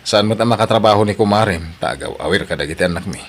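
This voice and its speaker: A man's voice: fairly low pitch, fast. A man playing a hard-working husband and father in need of a job, in an Ilocano radio drama with an Ilocano Filipino accent. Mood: resigned.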